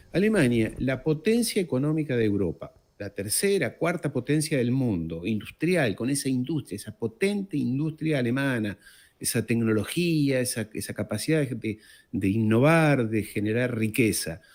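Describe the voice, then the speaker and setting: Animated man, emphatic political commentator. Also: mid-range voice. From born in Uruguay, raised in France. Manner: emphatic, slows to stress listed words, repeats for emphasis. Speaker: interview guest, economist.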